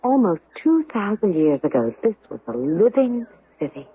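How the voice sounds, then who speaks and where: An actress playing a brisk, supportive woman friend, urging and expressive, in an old-time radio drama. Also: mid-range voice, slightly rough. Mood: curious, playful. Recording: muffled, band-limited 1970s radio broadcast.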